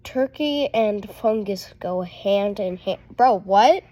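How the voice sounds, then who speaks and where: A woman's voice: medium pitch, slow, warm tone. A woman giving casual reaction commentary in slangy speech, often tossing in "bro". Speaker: reaction video host. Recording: faint background noise.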